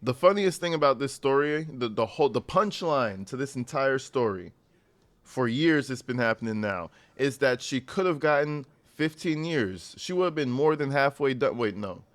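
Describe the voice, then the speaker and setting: A man's voice: medium pitch, lively intonation, moderate pace. A man hosting a reaction channel, commenting bluntly and mockingly on a jail call.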